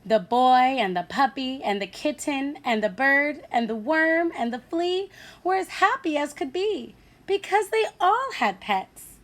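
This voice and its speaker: A woman teacher reading a picture book aloud to children, animated and asking her listeners questions. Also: high-pitched, a little slow.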